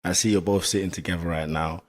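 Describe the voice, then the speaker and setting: Man, hoarse voice, very low pitch, upbeat host, asking questions. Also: steady tone, quick pace. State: hoarse, lost his voice. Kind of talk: interview hosting.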